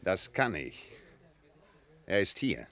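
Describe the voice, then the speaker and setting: A man playing a shrewd, self-assured detective in a German radio play, explaining things in a measured, methodical way. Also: low voice, sing-song, a bit bright, full character voice. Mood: calm, revealing.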